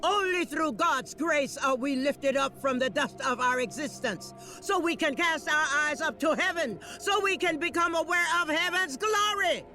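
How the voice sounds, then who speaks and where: A shrill doom preacher. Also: female voice, normal speed, hardly any pauses, faint music behind. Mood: preaching doom. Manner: fire-and-brimstone preaching, shouting warnings. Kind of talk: game voice acting.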